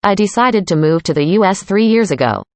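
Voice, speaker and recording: A woman reading a student's part in a scripted classroom conversation, calm and plain. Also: mid-range voice, fairly quick, no echo. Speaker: student in a dialogue.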